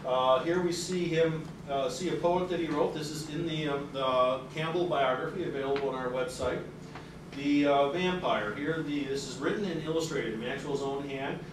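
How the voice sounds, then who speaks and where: A middle-aged man gives a lecture in a loose, conversational way, with frequent fillers and restarts. His voice sits a little high. He is heard from a distance in a very reverberant room, with a clear hiss of noise behind him. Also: moderate pace. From native English speaker. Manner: conversational, many fillers and restarts, reads quotes aloud with rising energy. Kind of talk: public lecture. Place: carpeted seminar room with audience. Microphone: room mic, away from the speaker at a lectern. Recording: very echoey room from far off, with noise.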